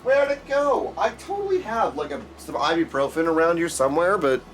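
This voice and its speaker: A man's voice: on the high side, sing-song, brisk, a bit bright, a little hiss or hum. A man hosting a casual livestream, chatting effusively with viewers, often exclaiming and calling them dudes. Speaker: live streamer and host.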